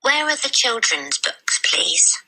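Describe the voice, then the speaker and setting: A woman's voice: sits high, sing-song, slow pace, pushed and bright, whispered, voice pushed up, exaggerated voice, rough recording. A woman voicing a polite, composed and bookish little girl in a storybook reading. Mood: polite, earnest. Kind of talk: storybook character voice.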